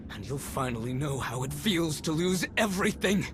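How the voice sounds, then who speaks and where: A man's voice: very animated, normal speed, over music. An actor playing a bitter, vengeful male villain in a video game, with a theatrical, intense, taunting delivery. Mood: bitter threat. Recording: loud rumbling ambience behind the voice.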